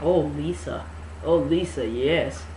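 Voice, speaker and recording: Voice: medium pitch, takes it slowly, a little mellow, reverberant, a little hiss or hum, poor audio. A reader voicing an awkward, smitten older male lover in a mock-dramatic novel reading.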